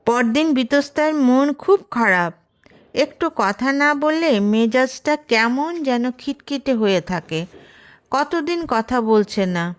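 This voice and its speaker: A woman's voice: sits high, animated, slow. A woman reading a scripted romantic story aloud as a storyteller. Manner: reads character dialogue within the narration.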